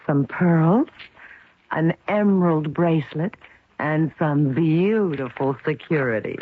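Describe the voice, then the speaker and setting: A woman's voice: sits low, slow. An actress playing an anxious, pleading female accomplice of a con man, earnest and defensive, in a radio drama. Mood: relieved, proud. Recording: thin, phone-like old radio recording.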